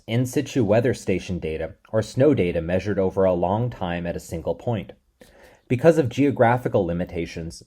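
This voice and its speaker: A man delivering a scripted lecture in a measured, steady explanatory way. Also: low-pitched, breathy edge.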